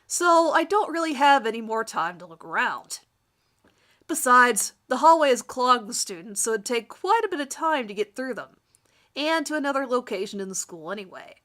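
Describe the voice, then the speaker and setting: Bright-voiced woman giving a dramatic reading. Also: fairly high pitch. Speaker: amateur reader of her own fan fiction. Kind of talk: dramatic reading of a first-person story.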